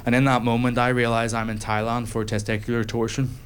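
A young man telling a stand-up comedy story to a live audience, with self-deprecating, deadpan asides. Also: mid-range voice, a little fast, slight background noise.

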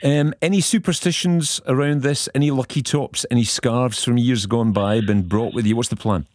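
A man's voice: medium pitch, steady tone, normal speed, darkish tone, no room sound, studio quality. A man hosting a radio phone-in, chatty and upbeat as he questions callers. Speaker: radio host.

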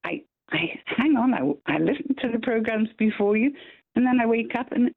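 A woman's voice: fairly high pitch, lively intonation, moderate pace, gravelly, slightly rough, no echo. An older Scottish woman calling into a radio show, chatty and effusive. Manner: chatty, effusive, laughs easily. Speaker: caller. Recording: tinny phone line.

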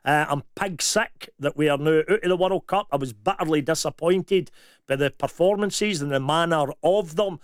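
A Scottish man co-hosts a radio show with blunt, lively banter. His voice sits a little high, and the studio sound is dry and close. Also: normal speed, clean tone, no room sound. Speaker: radio co-host. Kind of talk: casual radio chat.

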